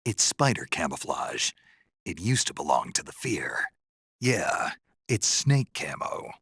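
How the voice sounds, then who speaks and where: An actor playing a gruff, earnest, deadpan American soldier on a covert mission in a video game, with a gravelly, husky voice and terse delivery. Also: male voice, low voice, slow pace, no echo, studio quality, full character voice. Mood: deadpan curiosity. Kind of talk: video game voice acting, radio dialogue.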